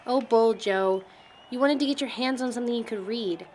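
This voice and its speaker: A woman's voice: a little high, normal speed, a little mellow, a little hiss or hum, lightly acted. A young woman playing a chatty college student in an indie film, with a teasing, informal manner. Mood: playful, teasing. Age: young adult. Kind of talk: naturalistic amateur film dialogue.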